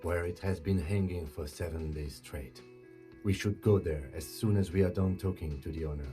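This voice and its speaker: An actor playing a calm, restrained police lieutenant in a video game, speaking in a measured, formal, matter-of-fact way. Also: male voice, sits low, soft background music, close to an everyday voice. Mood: measured, dry.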